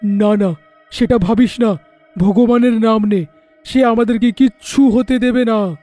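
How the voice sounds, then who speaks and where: A protective husband and father in a cartoon story, voiced by a man. His voice sits very high for a man, with a mellow tone in a dry, close recording. He sounds alarmed and quick to scold. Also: no room sound, soft background music. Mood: defiant. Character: worried protective family man. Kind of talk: cartoon voice acting.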